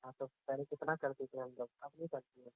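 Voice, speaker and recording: A man's voice: medium pitch, steady tone, a little fast, soft background music, lightly acted. A young man playing a jealous, sulky college boyfriend in a naturalistic phone-call roleplay, grumbling, accusing and defensive. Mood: sulky, hurt. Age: young adult. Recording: tinny, narrow phone-call sound.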